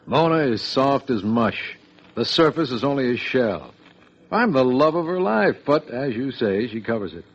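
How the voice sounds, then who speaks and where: A vain, moneyed, self-deluded man from a vintage radio mystery. His voice is medium-pitched, on a dull, muffled old recording. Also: very animated, normal speed. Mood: self-assured. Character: vain, wealthy, self-deluded male poisoning victim. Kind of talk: old-time radio drama acting.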